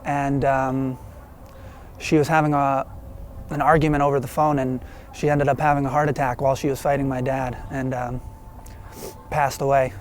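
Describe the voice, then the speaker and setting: A young man from New York haltingly recounting a family story to camera. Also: medium pitch, little pitch movement, a little hiss or hum. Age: young adult. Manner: hesitant, halting. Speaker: interviewee, young artist.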